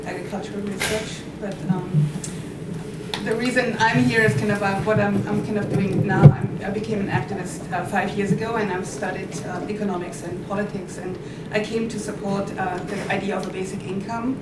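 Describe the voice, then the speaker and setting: A woman's voice: medium pitch, fairly even intonation, fairly quick. Female guest from Germany, hesitant, roomy distant sound. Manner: thoughtful, hesitant with many "um"s. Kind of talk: self-introduction on live radio. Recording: echoey room, distant mic, loud noise.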